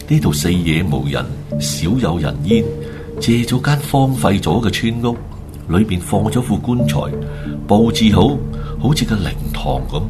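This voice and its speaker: A man narrating a radio drama in a dramatic storytelling style. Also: deep voice.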